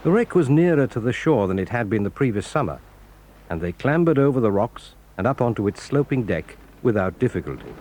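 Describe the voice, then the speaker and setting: A man narrating a children's audio drama in a measured, clear storytelling manner. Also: medium pitch, sing-song, darkish tone, a little hiss or hum.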